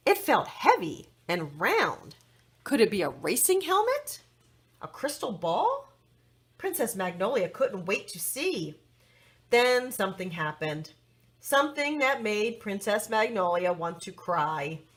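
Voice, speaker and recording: Animated, slow-paced woman storyteller. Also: mid-range voice, slightly rough. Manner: dramatic, deliberate phrasing for young listeners. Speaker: children's storyteller. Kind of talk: expressive read-aloud storytelling.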